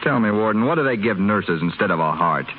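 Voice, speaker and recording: A man's voice: fairly low pitch, animated, fairly quick, phone-quality sound, natural voice. Actor as brash young man on scratchy old radio. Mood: flippant. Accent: American. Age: young adult. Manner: flippant, demanding, wisecracking. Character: brash, impatient young male hospital patient, wisecracking rich grandson. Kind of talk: 1930s American radio drama acting. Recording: scratchy, thin 1930s radio broadcast recording.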